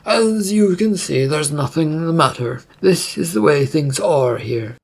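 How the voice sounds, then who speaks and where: A narrator voicing a gruff, defensive captain of a city guard, curt and formal, in a fantasy story. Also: mid-range voice, lively intonation, unhurried, a little mellow. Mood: stern.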